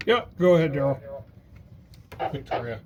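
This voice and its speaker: A man's voice: a little high, sing-song, normal speed, muffled recording, background noise. A man acting as net controller, calling stations in turn in an orderly, procedural way on a ham radio net.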